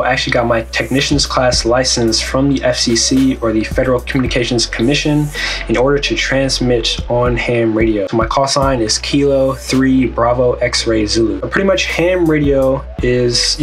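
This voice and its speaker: A man hosting an online video, explaining gear in a casual, conversational way. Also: medium pitch. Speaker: YouTube host. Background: background music.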